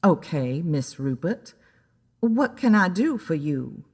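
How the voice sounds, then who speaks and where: A woman's voice: low voice, very animated, slow, a little mellow, husky, full character voice. A woman playing a cranky, sarcastic witch talking to a client in an audio story. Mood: coolly polite. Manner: dry, sarcastic. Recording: long hall-like reverb.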